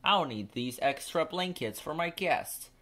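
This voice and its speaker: A man's voice: a little high, animated, measured pace, pushed and bright, voice pushed up, full character voice. Man playing a friendly, chatty village innkeeper.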